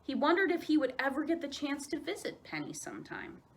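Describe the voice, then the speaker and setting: Female children's storyteller, higher-pitched voice, very animated delivery with dramatic pauses. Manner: expressive, with dramatic emphasis and pauses. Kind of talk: read-aloud children's storytelling.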